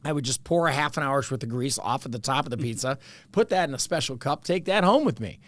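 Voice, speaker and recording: A man's voice: medium pitch, animated, fast, fairly bright tone. A middle-aged man with a Chicago accent, hosting a casual comedy podcast in a rambling, jokey way. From Evanston, Illinois, US. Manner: rambling, jokey, full of fillers. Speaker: podcast host.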